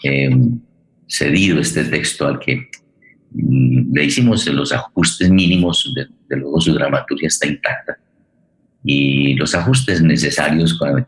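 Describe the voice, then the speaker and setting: A man with an extremely low voice speaks as the host of a theatre forum. He is deliberate and halting, often searching for the right word. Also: poor audio. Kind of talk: introducing a guest at a public forum.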